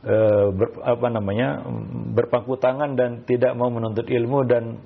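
A man giving religious answers as an Islamic teacher, speaking haltingly with repeated filler tags and quoted Arabic phrases. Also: medium pitch, little pitch movement, husky. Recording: muffled, dull lo-fi audio.

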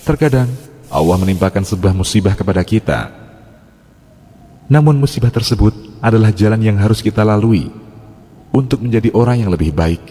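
A man calmly narrating a scripted religious story in a measured way. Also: on the low side, husky. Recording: long reverb.

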